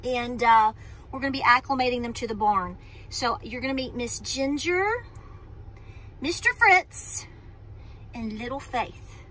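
A woman's voice: on the high side, animated, measured pace, pauses often, fairly bright tone, a little hiss or hum. A woman, chatting casually to a phone camera from inside a parked car, rambling and restarting her sentences as she talks. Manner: chatty, rambling, self-interrupting. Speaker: homestead vlogger. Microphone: phone camera at arm's length.

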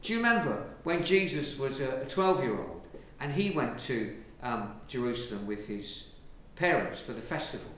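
An Englishman, a priest, giving a lively, conversational sermon from the pulpit of a large church, filmed from a distance. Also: mid-range voice, moderate pace, few pauses. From England. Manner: lively, conversational preaching with gestures. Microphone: far camera. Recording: very echoey church from far off, faint hiss.

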